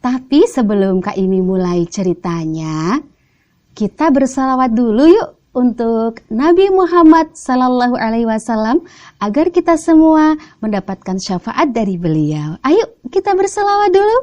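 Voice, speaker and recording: A woman's voice: fairly high pitch, animated, moderate pace, darkish tone, lo-fi sound. A woman with an Indonesian accent telling stories to children in a playful, coaxing way, often prompting them with questions. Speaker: children's storyteller. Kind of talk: animated storytelling addressed to children.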